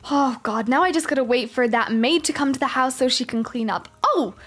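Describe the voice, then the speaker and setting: Spoiled rich schoolgirl voiced by a woman, high pitch, exasperated, sighing, complaining, game roleplay. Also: brisk, a bit bright, breathy edge. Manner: sighing, complaining, talking to herself.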